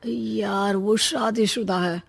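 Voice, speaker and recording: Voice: mid-range voice, steady tone, slow pace, vocal fry, breathy edge, full character voice. An actor playing an earnest, trusting young brother in a dramatised moral story, hesitant and confiding. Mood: shy confiding.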